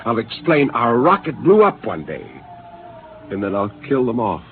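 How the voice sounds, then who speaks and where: An actor playing a bitter, disillusioned astronaut who has turned rebel, speaking in an intense, brooding and defiant way in a 1950s radio drama. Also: male voice, mid-range voice, very animated, moderate pace, natural voice. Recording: hissy, lo-fi 1950s radio broadcast.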